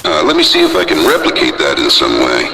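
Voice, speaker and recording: A man voicing a weary, wounded toy dog, a gentle last survivor, speaking earnestly and gravely in a dramatic video game style. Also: wildly swooping pitch, fast, full character voice. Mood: reflective, serious. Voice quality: very deep voice. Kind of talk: dramatic game character acting. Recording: echoey, loud background music.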